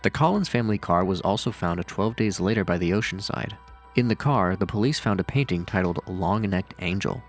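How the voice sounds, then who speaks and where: A man's voice: low-pitched, expressive, fairly quick. A man narrating scripted commentary on horror with casual, dryly sardonic asides. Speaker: video narrator and reviewer. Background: faint music.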